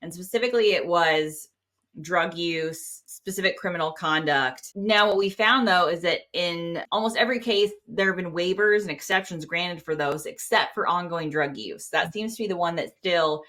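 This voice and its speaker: Bright-voiced, low-pitched American woman. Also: normal speed. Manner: animated, colloquial, quotes imagined speakers. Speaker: interview guest, security clearance expert. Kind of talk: informal explanatory interview talk.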